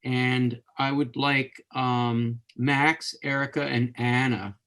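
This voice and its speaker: A man hosts a live online literature discussion in a conversational way. His voice sits at an ordinary middle pitch, and he rewords his thoughts as he speaks. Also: fairly even intonation, slow pace, a bit bright. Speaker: host of a live literature course webcast. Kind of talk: unscripted discussion hosting.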